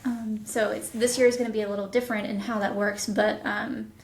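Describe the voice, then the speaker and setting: A woman's voice: medium pitch, flat delivery, a little fast, gravelly. A woman chatting casually as a guest in an interview about creative production work. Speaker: audio drama writer and producer, interview guest. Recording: echoey, roomy sound.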